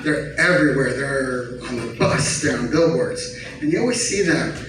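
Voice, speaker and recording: A man's voice: mid-range voice, moderate pace. A man performing an amateur stand-up comedy set in a conversational, sarcastic, teasing way. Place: comedy bar stage. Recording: very echoey noisy venue, distant mic.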